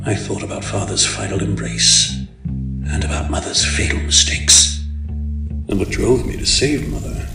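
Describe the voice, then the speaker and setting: A man's voice: on the low side, roomy sound, distant mic. A man voicing a proud, brooding, vengeful supervillain who recounts his youth in grave, formal narration for a comic dub. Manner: grave, deliberate, formal, theatrical.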